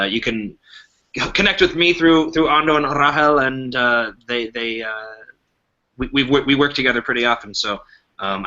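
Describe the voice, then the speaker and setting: A man's voice: mid-range voice, bright, forceful tone, dull audio. A man chatting casually as a podcast guest, in stop-start phrases with repeated words. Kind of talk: casual chat.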